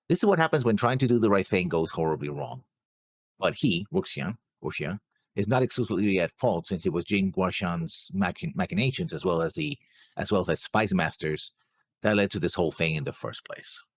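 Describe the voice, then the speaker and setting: Deep-voiced man with swooping intonation. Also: lively intonation, moderate pace, dry recording. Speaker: reaction show co-host. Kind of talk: casual discussion of an anime episode.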